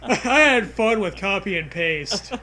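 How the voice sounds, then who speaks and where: A man's voice: sits high, lively intonation, a little slow, pushed and bright. A man in casual, joking chat as a co-host, laid-back and given to swearing. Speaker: co-host commenting.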